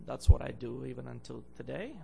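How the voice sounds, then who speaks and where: A young man from Bulgaria, a guest preacher, gives a sermon in a church, halting with many fillers and growing louder when he reads scripture. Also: medium pitch, gravelly, soft background music.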